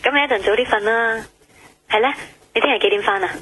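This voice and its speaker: An actress with a Hong Kong Cantonese accent playing a caring, inquisitive young woman, husky, through a telephone filter in a radio drama. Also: fairly high pitch, fast talker, fairly bright tone, slight background noise, rough recording. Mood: caring, curious.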